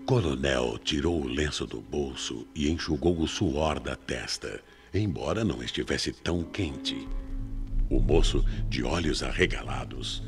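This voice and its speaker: A man with a Brazilian accent narrating a scripted radio mystery in a measured, suspenseful way. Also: extremely low pitch, vocal fry. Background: dramatic music.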